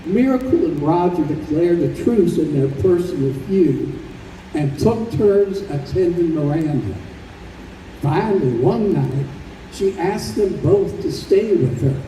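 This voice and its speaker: A man performing as the measured narrator of an old time radio drama, telling a sentimental story. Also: on the high side, animated, dark tone. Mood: solemn storytelling. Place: convention hall stage. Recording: roomy live stage recording, distant, faint noise.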